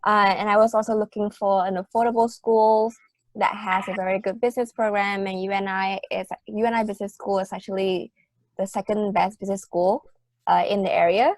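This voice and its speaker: A woman's voice: mid-range voice, little pitch movement, a little fast, no room sound, poor audio. A woman in her early twenties from Vietnam with a Vietnamese accent, giving informal answers as a student panelist. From Hanoi, Vietnam. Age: early 20s.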